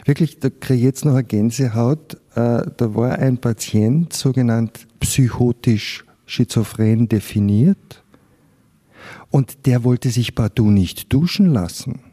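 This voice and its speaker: A man's voice: mid-range voice, slow, warm tone, breathy edge. An older man from Carinthia with an Austrian accent, a physician giving candid, reflective personal testimony in an interview. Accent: Austrian German (Carinthian).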